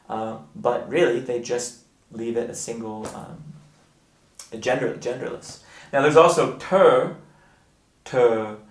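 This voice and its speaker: A man giving a casual explanatory monologue, halting as he thinks aloud and stressing key words. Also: medium pitch, vocal fry, echoey room. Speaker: video blogger.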